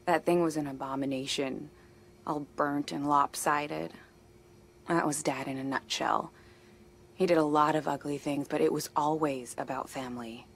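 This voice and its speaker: A woman's voice: sits low, fairly even intonation, moderate pace, creaky, slight background noise. A woman playing a worried, stubborn younger sister and young mother in a naturalistic video game scene, plain-spoken and conversational. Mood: amused, nostalgic. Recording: music under the voice.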